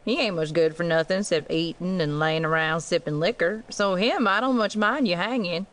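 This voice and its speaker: An actress playing a blunt, sharp-tongued frontier wife with a folksy rural Western American accent in an audiobook drama. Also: female voice, lowish voice, normal speed, natural voice. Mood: blunt, scolding. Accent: rural American West. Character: blunt, plain-spoken frontier wife, worn and scolding.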